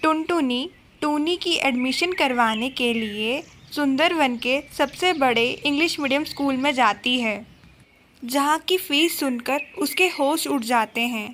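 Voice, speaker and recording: A woman's voice: sits high, measured pace, a bit bright, slight background noise. A woman narrating a scripted children's story.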